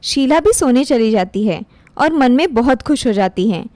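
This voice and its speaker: A woman's voice: fairly high pitch, animated, normal speed, a little mellow, dry recording. A woman reading scripted story narration as the narrator of a moral tale.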